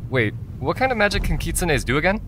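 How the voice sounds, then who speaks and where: An actor playing a curious, brainy young student in an audio drama, inquisitive, eager and excitable. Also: mid-range voice, fast talker, background noise, natural voice. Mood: eager, clever.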